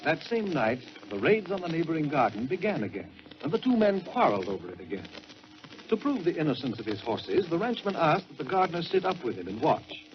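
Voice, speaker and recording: High-pitched, animated man storytelling on old radio. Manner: theatrical, emphatic, deliberate pauses for effect. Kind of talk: dramatic 1940s radio storytelling, scripted narration. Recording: dull old-time radio recording with music.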